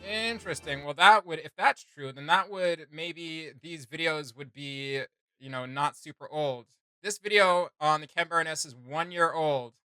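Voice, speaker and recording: Young man, bright forward voice, casual enthusiastic reaction commentary with music behind. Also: on the high side, moderate pace, clear, resonant tone, no echo, rough recording. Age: young adult. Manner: enthusiastic, slangy. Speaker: reaction video host. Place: indoor home studio room.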